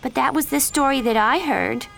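A woman voicing a catty teenage girl who spreads gossip, in a sweet, needling, high voice, in an audiobook reading. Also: moderate pace, gravelly, a bit bright, a little hiss or hum, heavily acted. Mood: sly sweet.

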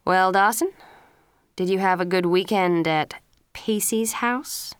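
A woman's voice: medium pitch, slow pace, smooth, no room sound, natural voice. A woman reading the lines of a stern middle-aged father who scolds his son in a storybook.

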